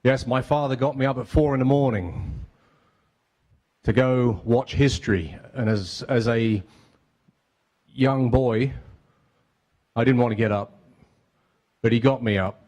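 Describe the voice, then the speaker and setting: A man's voice: mid-range voice, a little slow, pauses often, darkish tone. Man, 50s, witty lecturer working the crowd, mid-range voice. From England. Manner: joking, audience-engaging. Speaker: guest speaker. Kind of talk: public lecture. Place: museum cafe with audience.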